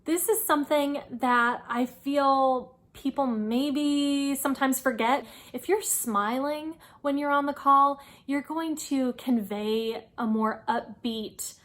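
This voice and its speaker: Woman, higher-pitched voice, advice host, informal instructional talk, encouraging and explanatory. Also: takes it slowly, hardly any pauses, a bit bright. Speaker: host giving career advice.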